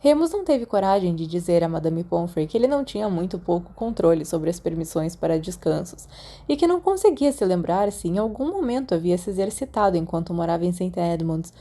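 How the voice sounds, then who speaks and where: A woman's voice: lowish voice, very animated, brisk, dark tone. A woman with a Brazilian accent reading a story aloud as a scripted audiobook narration. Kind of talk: scripted fan fiction narration with acted dialogue.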